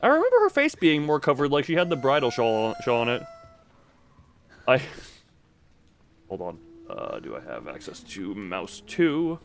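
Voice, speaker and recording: A man's voice: medium pitch, wildly swooping pitch, normal speed, creaky, pauses often. A man doing casual live video game commentary, rambling playfully to his viewers and repeating himself as he exclaims.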